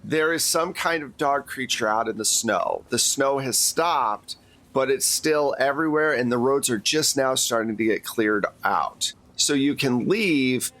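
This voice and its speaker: A man's voice: mid-range voice, a little slow, a bit bright. A man working as game master, recapping and narrating a tabletop game in an informal, conversational way.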